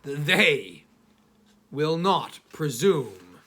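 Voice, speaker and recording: A man playing a haughty, misanthropic older traveller narrating his memoir, with halting, theatrical delivery and emphatic pauses. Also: fairly high pitch, sing-song, bright, forceful tone, heavily acted. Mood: haughty, pompous. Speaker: audiobook reader. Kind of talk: dramatic first-person literary narration.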